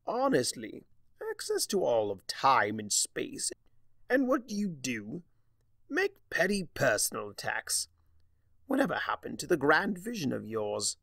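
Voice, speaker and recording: A man's voice: medium pitch, wildly swooping pitch, unhurried, creaky, a bit bright, dry recording, exaggerated voice. A man voicing a mocking, smug chaos spirit in a storybook reading, with a drawling, condescending manner. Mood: scolding. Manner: drawling, sardonic, grandly condescending.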